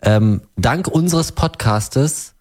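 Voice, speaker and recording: A man from Berlin co-hosting a talk podcast, unhurried and full of fillers. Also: medium pitch, expressive, darkish tone. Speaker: podcast co-host. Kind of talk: casual chat.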